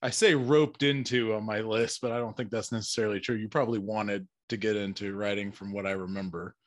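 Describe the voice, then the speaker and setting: A man's voice: fairly low pitch, fairly even intonation, fairly quick, no room sound, clean studio sound. A man interviewing casually, with hesitant and meandering phrasing.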